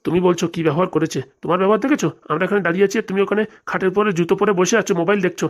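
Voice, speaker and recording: A woman's voice: fairly low pitch, steady tone, rapid-fire, lightly acted. A woman playing a stern older father-in-law in a cartoon family drama, lecturing and reproachful with a rural Bengali accent. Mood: scolding.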